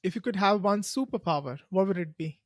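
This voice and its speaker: A podcast host who calmly asks short interview questions and gives brief backchannels. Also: mid-range voice, fairly quick, no echo, studio quality. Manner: calm, brief prompts and soft backchannels.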